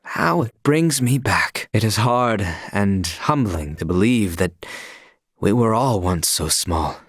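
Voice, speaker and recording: A man's voice: lowish voice, expressive, slow, breathy edge, no echo, clean studio sound, exaggerated voice. An actor playing a loyal young knight in a video game, speaking in a formal, old-fashioned way, dutiful and protective of his princess. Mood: earnest. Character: earnest young male knight, loyal royal guard.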